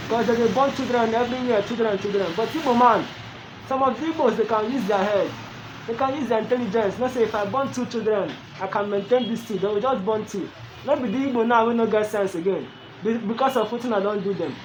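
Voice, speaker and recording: A man's voice: sits high, noisy background. A man from southeastern Nigeria with a Nigerian accent, delivering a pleading political monologue full of repeated rhetorical questions. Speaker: commentator.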